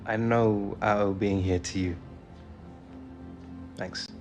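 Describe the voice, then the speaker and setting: A young star footballer in a game cutscene. He is confident and laid-back, chatting in a matey, natural way, with soft music underneath. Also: on the low side, fairly even intonation, slow. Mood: sincere. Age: young adult. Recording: music behind the voice.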